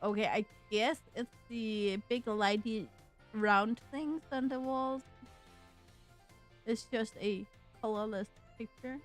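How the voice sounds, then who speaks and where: A woman talks through a video game and reads its dialogue aloud in a slightly high, drawn-out voice, stumbling over unfamiliar words. Her pace is very slow and unhurried. Quiet game music plays underneath. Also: fairly even intonation. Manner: slow, halting, trips over words while reading. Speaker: streamer. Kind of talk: casual gameplay commentary, reading game text aloud. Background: faint game music.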